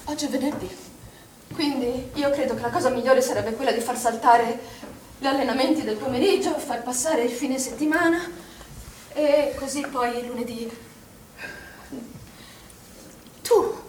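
An actress playing an anguished, doubt-ridden middle-aged woman in charge, speaking in an agitated, halting stage-drama style and repeating her phrases. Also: high-pitched, a little mellow, natural voice. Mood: insistent, probing. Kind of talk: stage drama acting, emotional monologue. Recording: roomy, distant theatre recording, faint noise.

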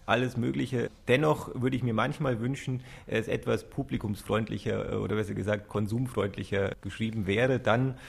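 A man with a creaky, husky, mid-pitched voice gives a considered opinion. He is careful and mildly critical, correcting his own wording. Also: steady tone, normal speed, few pauses.

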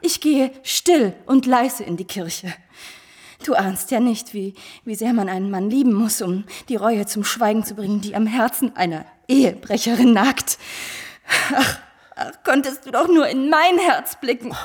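High-voiced, scheming seductress. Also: female voice, animated, measured pace, breathy edge. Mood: tearful pleading. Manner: coaxing, mocking, theatrical. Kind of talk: radio play acting.

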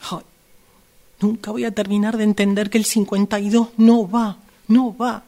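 A woman's voice: mid-range voice, little pitch movement, moderate pace, mellow, natural voice. A woman with a Rioplatense Argentine accent playing a fed-up shopper's grumbling, sweary inner voice in a radio play. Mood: self-reproachful. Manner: muttering, exasperated, sweary.

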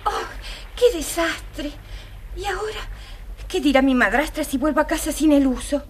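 High, sweet storybook girl. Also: lively intonation, moderate pace, slight background noise. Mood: dismayed. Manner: sweet, innocent, obliging. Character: gentle, hardworking, kind-hearted young fairy-tale girl. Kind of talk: scripted children's audio storybook acting.

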